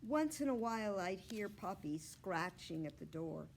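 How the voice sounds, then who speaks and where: A woman reading a children's storybook aloud in an animated, expressive way. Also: mid-range voice, a little slow, vocal fry, slight background noise.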